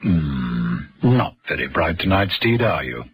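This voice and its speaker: A man's voice: a bass voice, very animated, measured pace, heavy background noise. A man narrating a scripted radio drama, telling the story between the scenes.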